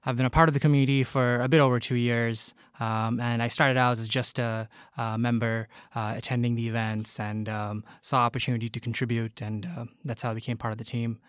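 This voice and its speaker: A man from Bangladesh with a clear, smooth voice introduces himself as a podcast guest. He speaks in a friendly way, with frequent fillers. The sound is close and dry. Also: mid-range voice, level tone, brisk, hardly any pauses, no echo.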